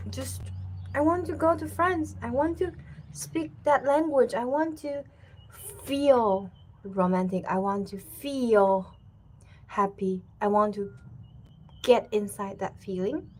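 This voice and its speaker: A woman's voice: mid-range voice, takes it slowly, pauses often, slight background noise, low-quality recording. A woman in her thirties from Taiwan, a Mandarin speaker talking in English, chatting casually and laughing often as she hosts her own language channel. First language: Mandarin Chinese. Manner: relaxed and chatty, with many fillers and repeated words, laughing often. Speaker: language teacher and channel host. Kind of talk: casual chat to camera.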